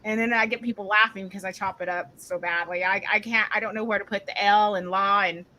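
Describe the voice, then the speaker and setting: A woman's voice: mid-range voice, fairly even intonation, quick pace, bright, forceful tone, rough recording. A woman co-hosting a casual live panel chat, talking freely and laughing often. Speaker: co-host.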